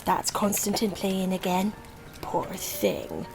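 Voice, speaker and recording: A woman playing a fond, fussing middle-aged mother in an audio drama, plaintive and drawn-out. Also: mid-range voice, little pitch movement, over music.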